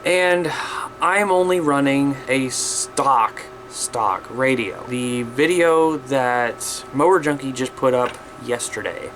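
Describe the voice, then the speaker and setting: Male hobby vlogger, American, average pitch, off-the-cuff and folksy, wandering delivery, noticeable noise behind him. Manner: rambling, repeats himself, mildly folksy. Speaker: amateur radio hobby vlogger. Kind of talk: casual unscripted vlog talk to viewers. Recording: clear steady background noise.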